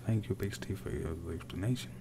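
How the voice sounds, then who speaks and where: A man from Denmark with a Danish accent, casually commenting on his gameplay while reading and answering viewer chat in a soft, unhurried way. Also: low voice, background noise. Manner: soft-spoken and unhurried, muttering while playing. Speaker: gaming streamer.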